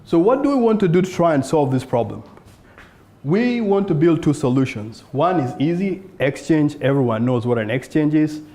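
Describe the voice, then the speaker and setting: A man from South Sudan pitches his startup to a live audience. His voice is mellow and a bit dark. He speaks earnestly and lifts his pitch on rhetorical questions. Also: lively intonation, moderate pace. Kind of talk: conference pitch talk. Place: event room in front of an audience.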